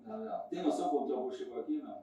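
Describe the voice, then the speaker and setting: Male interviewer, Brazilian accent, casual questioning, slightly high voice with very muffled tone, far from mic in strong room echo. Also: big pitch swings, racing, warm tone. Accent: Brazilian Portuguese.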